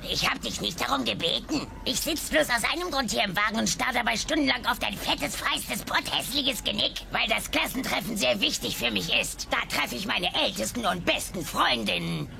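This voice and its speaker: Grumpy old dino granny, super creaky highish voice, sarcastic nagging, cartoon radio play. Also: female voice, animated, normal speed, few pauses, over music. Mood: bitter, defiant. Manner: bickering, sarcastic. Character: cranky sharp-tongued old female dinosaur relative. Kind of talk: dubbed sitcom audio drama acting.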